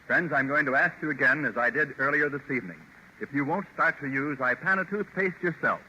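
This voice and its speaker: An American man announcing on 1930s radio, delivering an earnest, deliberate sponsor pitch on an old broadcast recording. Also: pushed and bright, a little hiss or hum, rough recording.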